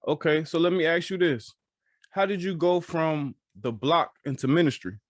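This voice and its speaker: A man's voice: fairly high pitch, normal speed, no echo. A man hosting a casual interview podcast, repeating phrases as he feels his way into each question. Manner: hesitant, repeats phrases while forming questions. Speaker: podcast host.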